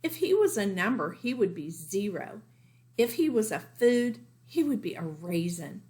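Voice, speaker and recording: A woman's voice: mid-range voice, sing-song, a little slow, a little mellow. A woman teacher reading a children's storybook aloud expressively, explaining things simply for young listeners.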